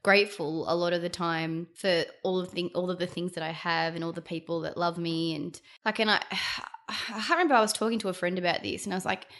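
A woman joins a podcast as a guest and talks things through in a reflective, earnest way. Her voice sits a little low, and her delivery is relaxed and conversational. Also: brisk, few pauses. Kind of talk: casual chat.

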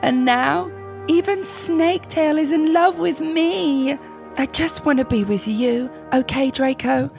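A woman's voice: high voice, a little slow, gravelly, a little mellow, faint music behind, pitched above the performer's own voice. A woman voicing a vain, lovestruck, self-pitying teenage goth vampire girl in an overwrought, theatrical reading of fan fiction. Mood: self-pitying, dramatic.

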